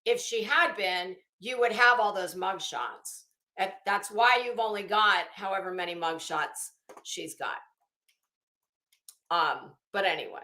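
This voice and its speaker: A woman's voice: medium pitch, unhurried, pushed and bright, echoey room. A woman giving casual, rambling commentary on a document as a channel host, with a blunt, sarcastic, sweary manner. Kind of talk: casual spoken commentary while reading a legal document.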